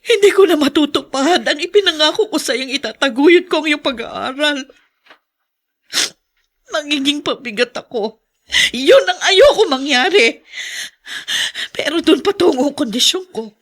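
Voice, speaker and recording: A woman's voice: high-pitched, animated, normal speed, a bit bright. An actress playing an emotional, anxious middle-aged mother who is losing her sight, in a Filipino radio drama. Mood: tearful, apologetic. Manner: emotional, pleading.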